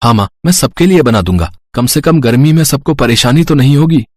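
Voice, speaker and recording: A man voicing an earnest, hardworking poor village boy in an animated moral story, speaking plainly and reassuringly. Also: mid-range voice, a little fast, dry recording, clean studio sound, natural voice.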